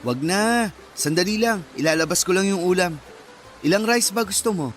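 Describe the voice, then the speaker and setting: A man's voice: high-pitched, lively intonation, moderate pace, fairly bright tone. A man playing a friendly, generous nineteen-year-old eatery helper in a Filipino radio drama, speaking politely and casually. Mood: friendly, kind.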